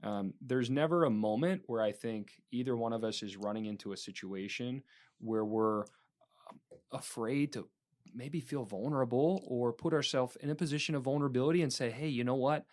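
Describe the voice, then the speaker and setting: A man's voice: medium pitch, moderate pace, darkish tone. A man hosting a podcast, talking in an earnest, reflective way with some "um" and "like". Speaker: podcast host. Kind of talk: casual podcast conversation.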